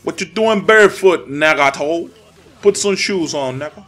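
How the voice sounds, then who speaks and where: A man's voice: fairly high pitch, lively intonation, normal speed, a bit bright, faint music behind. A young man from the Dominican Republic giving casual, profane commentary while playing a fighting game, ranting and easily irritated. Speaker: gameplay commentator.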